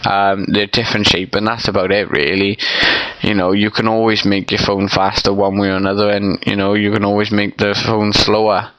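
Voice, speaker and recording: A man's voice: fairly low pitch, flat delivery, a little fast, a bit bright, no echo, poor audio. A young man with a stuffy, congested nose, rambling casually in a vlog with lots of ums and you-knows. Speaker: vlogger. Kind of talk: casual unscripted opinion vlog.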